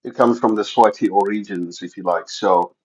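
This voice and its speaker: Low-voiced South African man, stammering. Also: normal speed, slightly rough, rough recording. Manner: stammering, repeats words. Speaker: guest. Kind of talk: casual conversation.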